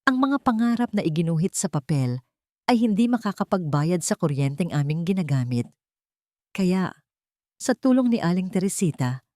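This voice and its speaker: A woman with a Filipino accent narrating a scripted first-person drama in measured, deliberate phrases. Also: deep voice, darkish tone, dry recording, studio quality. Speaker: story narrator.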